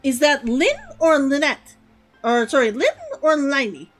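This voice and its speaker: A woman's voice: high-pitched, unhurried, soft background music. A young woman streaming a game, chatty and giggly, repeating words aloud to test how they sound. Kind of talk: live game commentary.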